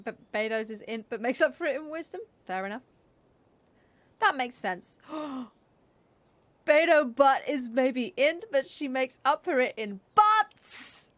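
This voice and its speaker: Playful, higher-pitched woman streaming a game. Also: lively intonation, slow pace, a bit bright, no echo. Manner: playful, chatty, musing aloud. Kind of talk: casual live-stream game commentary. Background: game music.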